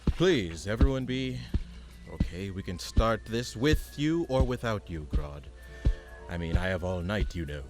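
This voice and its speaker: A man's voice: on the low side, lively intonation, measured pace, music in the background. A man acting a grandiose, theatrical character in a parody superhero radio play, with a sarcastic, exasperated streak. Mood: pompous, sarcastic. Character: bombastic radio announcer turned petty, exasperated, pompous supervillain leader.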